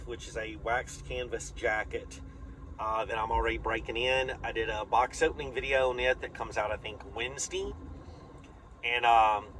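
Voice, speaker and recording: A man's voice: medium pitch, sharp tone, poor audio. A man chats casually and plainly in a folksy, rambling vlog style. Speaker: vlogger. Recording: clear vehicle and outdoor noise.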